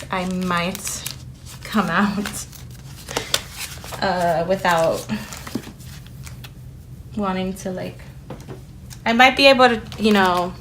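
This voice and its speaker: Chatty woman, slow and rambling. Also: mid-range voice. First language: Spanish. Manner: rambling, chatty, with many fillers. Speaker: planner vlogger. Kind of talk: casual chat while decorating a planner. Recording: steady background noise with paper crinkling. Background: sticker and paper crinkling.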